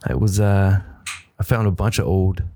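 A man co-hosting a casual podcast, trading dry, deadpan jokes with friends. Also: sits low, normal speed, dry recording. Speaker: podcast co-host. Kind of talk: casual banter.

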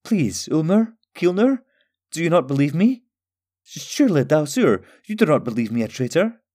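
A man's voice: fairly high pitch, very animated, moderate pace, dark tone, dry recording, clean studio sound, voice pushed up. A man voicing a frightened boy, anxious and pleading. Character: frightened, pleading young male accused of treachery.

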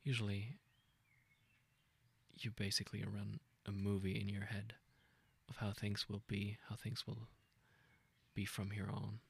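A man with a low, husky voice full of vocal fry guides a meditation. He speaks softly and gently, without hurry, in an informal and intimate way. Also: level tone. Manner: gentle, unhurried, informal with self-corrections. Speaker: meditation guide, podcast host.

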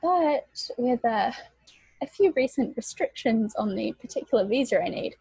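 Gravelly-voiced young woman with a New Zealand accent. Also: on the high side, expressive, normal speed, a little mellow, no echo, low-quality recording. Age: 20s. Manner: chatty, peppers speech with "like". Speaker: guest. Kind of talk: casual podcast chat.

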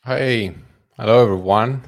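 A man from Italy with an Italian accent, introducing himself as a guest co-host in a relaxed way. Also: mid-range voice, measured pace. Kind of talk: casual self-introduction.

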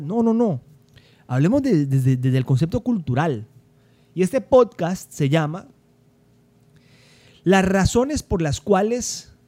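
A man's voice: sits high, warm tone. A young adult man from Cali, Colombia, with a Colombian accent, hosting a solo podcast in an emphatic, conversational way and often repeating words as he thinks. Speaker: podcast host.

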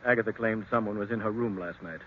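An actor playing a polite, troubled, well-off older uncle in 1940s radio drama style. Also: male voice, fairly low pitch, fast, a little hiss or hum, close to an everyday voice. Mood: concerned. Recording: muffled, phone-like old radio recording.

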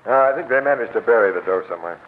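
Man as a low-voiced terse police captain. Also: expressive, rapid-fire, natural voice. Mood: rueful, frustrated. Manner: terse, dogged. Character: gruff, businesslike male police captain. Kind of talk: 1940s radio drama acting. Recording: tinny, noisy vintage radio broadcast.

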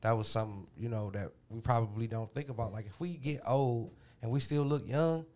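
A man's voice: mid-range voice, brisk, warm tone. A man sharing a reflective thought in casual, slangy chat, drawn out and musing.

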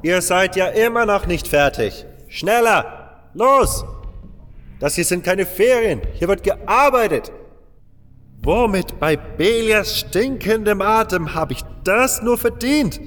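A man's voice: sits high, expressive, takes it slowly, a bit bright, long reverb tail, soft background music, pitched above the performer's own voice, exaggerated voice. A man voicing an irritable, impatient male mine overseer who barks and scolds, in an audiobook. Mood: angry, barking. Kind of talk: audiobook character voice.

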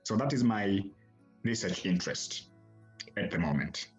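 A man from Tanzania, answering podcast interview questions as an academic guest in a halting way, often repeating words as he starts a phrase. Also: on the low side, animated, faint music behind.

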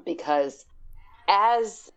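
A woman's voice: medium pitch, animated, extremely slow, clean tone, muffled recording. A woman co-hosting a podcast, chatting casually about games and rambling as she searches for words. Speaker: podcast co-host. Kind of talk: casual gaming discussion.

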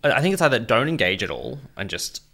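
Lively gravelly man rambling on a podcast. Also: mid-range voice, brisk. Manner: rambling, self-deprecating joker. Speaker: podcast co-host. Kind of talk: casual chat.

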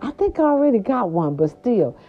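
A grandmother from California talking casually to her viewers, animated and chatty, with salty slang and lots of "right, right" fillers. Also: on the high side, brisk. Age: older. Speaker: vlogger. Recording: dull lo-fi sound.

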